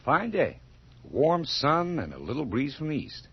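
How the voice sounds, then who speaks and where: An actor playing a polite, secretly sinister farmhand in a radio drama, with a terse, deferential and oddly intense way of talking. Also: male voice, a little high, huge pitch swings, a little slow, husky, heavily acted. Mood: courteous, brisk. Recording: dull, noisy radio drama recording.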